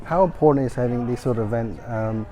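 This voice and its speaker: A man hosting an event interview, eager and halting, with frequent restarts and fillers. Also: medium pitch, mellow, rough recording.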